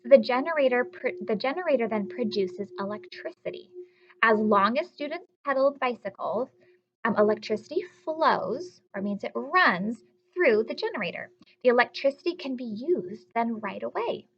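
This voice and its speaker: A woman's voice: on the high side, lively intonation, moderate pace, highs cut off. A woman teacher reading aloud a lesson for children, explaining simply and encouragingly with questions to her young listeners. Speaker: elementary school teacher.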